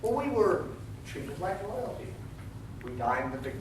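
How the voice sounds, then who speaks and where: A middle-aged American man giving a storytelling speech to a live audience, with a dramatic, conversational delivery and emphatic pauses. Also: sits high, very animated. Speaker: workshop presenter. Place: echoey indoor event room with an audience. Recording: very echoey room, distant mic, clear noise.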